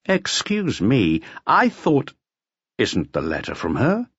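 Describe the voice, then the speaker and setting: A man voicing a cool, gruff, unflappable police detective in an audiobook. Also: medium pitch, wildly swooping pitch, slow pace, a bit bright, dry recording, dull audio, pitched above the performer's own voice, heavily acted. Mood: calm, probing.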